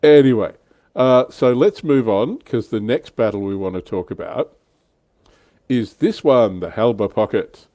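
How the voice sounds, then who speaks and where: A man's voice: medium pitch, unhurried, mellow, no room sound, studio quality. A man hosting a casual military history panel, talking in an informal, blunt way. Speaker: livestream host.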